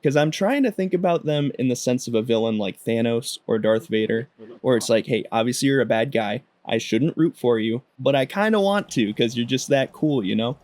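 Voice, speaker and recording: A man's voice: mid-range voice, expressive, moderate pace, a little mellow. Wry man doing casual game commentary. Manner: wry, sarcastic. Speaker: gaming video host.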